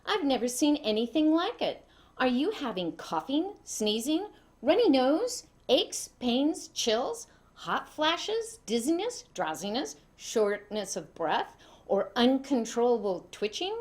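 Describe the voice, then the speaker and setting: A woman voicing a brisk, inquisitive male doctor in a children's story, fussy and emphatic. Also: high voice, very animated, a little mellow, pitched above the performer's own voice, exaggerated voice. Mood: puzzled, probing.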